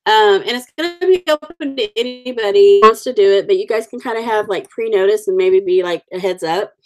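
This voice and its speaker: A woman's voice: medium pitch, fairly quick, a little mellow. A woman with the sniffles, chatting casually with viewers while coloring stamped cards on a livestream, greeting people by name. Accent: Oklahoma. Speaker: livestream host.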